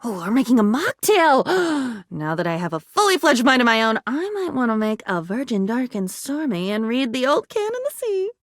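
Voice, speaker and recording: A high, swooping female voice plays a living diary come to life in a video game. She is wistful, curious and excitable, musing haltingly and moving theatrically between hushed thought and exclamation. The sound is dry and close. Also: no room sound. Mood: scattered, playful. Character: sentient diary, wistful, curious, excitable, newly self-aware woman.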